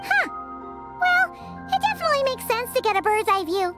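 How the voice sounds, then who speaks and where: A woman voicing a tiny, excitable, childlike fairy companion in a video game, with a squeaky voice. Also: moderate pace, soft background music, heavily acted. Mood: chirpy. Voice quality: very high, squeaky. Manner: refers to self by name.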